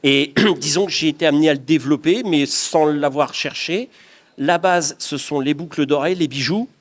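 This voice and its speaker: A man's voice: fairly high pitch, moderate pace. A middle-aged man from the Paris region, answering interview questions in an earnest, reflective way with frequent restarts. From Paris region of France. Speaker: craftsman guest.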